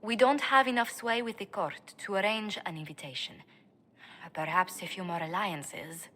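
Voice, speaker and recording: A careful, formal diplomat who advises with measured calm. Her voice sits in a middle range. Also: mid-range voice. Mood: grave. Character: poised female court diplomat, formal and careful. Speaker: diplomat advisor in a fantasy game. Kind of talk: video game dialogue acting.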